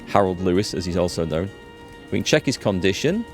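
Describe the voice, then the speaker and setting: Man, lowish voice, casual gaming commentary, thinking aloud, explanatory, music behind. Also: very animated, fairly quick. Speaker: gaming video host. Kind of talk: casual let's play commentary.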